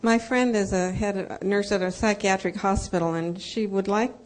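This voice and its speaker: Female seminar attendee, medium pitch, audience question. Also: steady tone, normal speed, darkish tone. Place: seminar room.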